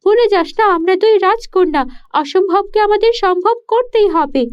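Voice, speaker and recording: A woman's voice: very high-pitched, lively intonation, normal speed. A woman voicing a determined young princess in a cartoon, speaking with confident urging. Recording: dull lo-fi audio.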